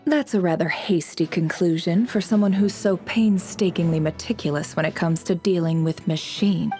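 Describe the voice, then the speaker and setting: Sly, composed female intelligence boss for a game, female actor, velvety low tone, faint music bed. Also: normal speed, warm tone, close to an everyday voice. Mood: cool, haughty. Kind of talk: video game voice acting.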